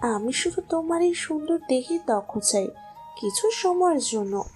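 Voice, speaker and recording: Woman acting a high-voiced, seductive lady boss. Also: animated, moderate pace, darkish tone, faint music behind. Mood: seductive, insistent. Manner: coaxing, commanding. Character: seductive, domineering female boss pursuing her employee. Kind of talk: romantic audio story acting.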